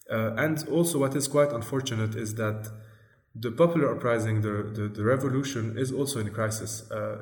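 Low-voiced man in an echoey room. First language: Arabic. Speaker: interview guest, journalist. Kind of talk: interview answers, explanatory.

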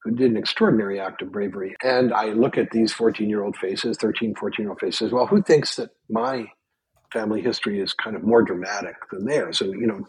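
An older man from Canada, whose first language was Yiddish, telling stories in a casual podcast chat with lively restarts. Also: fairly low pitch, fairly quick, husky, no room sound, low-quality recording. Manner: animated storyteller with frequent restarts. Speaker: guest.

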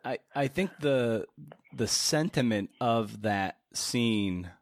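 A man's voice: medium pitch, lively intonation, vocal fry, no room sound, studio quality. A man interviewing casually as a podcast host, thoughtfully feeling out his phrasing as he goes. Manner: thoughtful, halting, feels out phrasing.

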